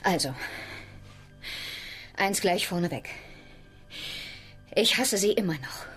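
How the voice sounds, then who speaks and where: A woman's voice: on the low side, expressive, slow, a bit bright, faint music behind. An actress playing a blunt, resentful, coolly determined woman in a radio drama, speaking with control. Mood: cold, resentful.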